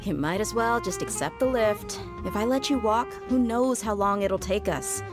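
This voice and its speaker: A woman's voice: medium pitch, normal speed, music in the background, natural voice. A woman playing a brash, grudging female bodyguard in a video game, blunt and dryly teasing. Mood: exasperated.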